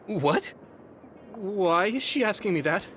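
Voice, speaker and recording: A man playing a friendly, easily flustered young soldier in an English anime game, expressive and boyish. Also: high voice, takes it slowly, slight background noise, exaggerated voice. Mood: surprised, flustered.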